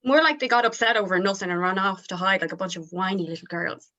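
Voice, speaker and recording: A woman playing an abrasive, short-tempered female rock band member at a table read, sharp, scornful and sweary. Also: medium pitch, fast talker, muffled recording, lightly acted. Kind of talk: informal script table read.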